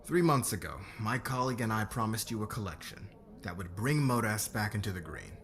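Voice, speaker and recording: A man acting as a demanding, controlling boss in an audio roleplay, speaking with measured, commanding formality. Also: fairly low pitch, expressive, fairly bright tone. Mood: polished, confident.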